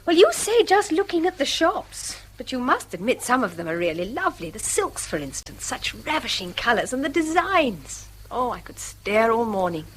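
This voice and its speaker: Radio drama: eager English schoolmaster's wife, high-pitched, animated, effusive, with a wry, wistful streak. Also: female voice, normal speed, few pauses, a little hiss or hum, low-quality recording. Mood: candid, rapturous. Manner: effusive, exclaiming. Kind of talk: stage-style British radio drama acting.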